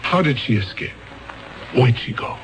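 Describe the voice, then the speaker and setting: A blunt police lieutenant played by an actor leans hard on a suspect in an old radio drama. His voice sits at a middling pitch, and his delivery is pressing and practical. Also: expressive, measured pace, noisy background, lightly acted. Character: pushy male police lieutenant. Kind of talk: 1970s radio drama acting.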